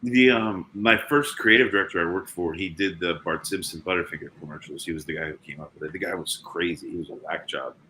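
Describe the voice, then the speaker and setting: A deep-voiced man, a guest in a casual chat, talking loosely and mumbling a little, recorded on a laptop in a room with a high ceiling. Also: quick pace, hardly any pauses, a little hiss or hum, low-quality recording. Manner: mumbling, relaxed, says he speaks up. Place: room with twenty-foot ceiling. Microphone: laptop without external mic.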